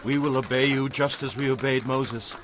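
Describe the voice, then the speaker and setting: A man's voice: medium pitch, steady tone, normal speed, background noise, lightly acted. Solemn, firm ancient Israelite man played by an actor. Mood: loyal, solemn. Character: resolute Israelite men swearing solemn oaths. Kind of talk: dramatized audio Bible acting.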